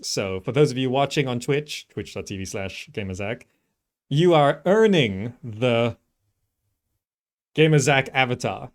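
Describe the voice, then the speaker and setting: A man giving casual live commentary as he streams a strategy game, thinking aloud as he plays. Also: medium pitch. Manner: thinking aloud while playing.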